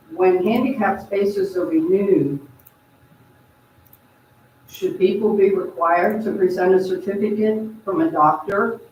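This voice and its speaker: Slow, deliberate speaker in an echoey chamber. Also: mid-range voice, slight background noise. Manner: measured, deliberate, announcing decisions. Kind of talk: formal remarks at a council meeting. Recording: dull, echoey hall from far off.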